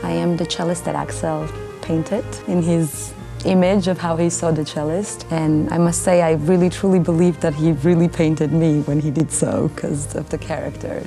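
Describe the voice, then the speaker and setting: Low-voiced Armenian-accented woman over music. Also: little pitch movement, normal speed, vocal fry, dark tone. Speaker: cellist and vocalist. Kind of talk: interview testimonial. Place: indoor backstage area, seated.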